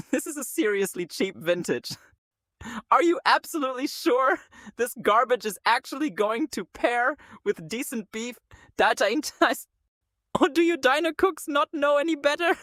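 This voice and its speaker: Woman as a pompous rich brat for an animated story: high, swooping delivery, condescending and pushy, dry recording. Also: a little slow, no echo. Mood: smug, condescending. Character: arrogant, flashy young rich man, pushy and sneering. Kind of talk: animated story character acting.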